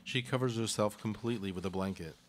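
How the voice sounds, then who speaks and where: A man's voice: on the low side, fast. A man narrating stage directions for a live radio play in a scripted, read-aloud style.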